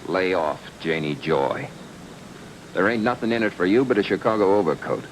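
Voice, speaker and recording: A man's voice: low-pitched, expressive, moderate pace. An actor playing a tough, terse hired gunman in a noir radio drama, talking in threatening slang. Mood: menacing. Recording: noisy old radio drama recording.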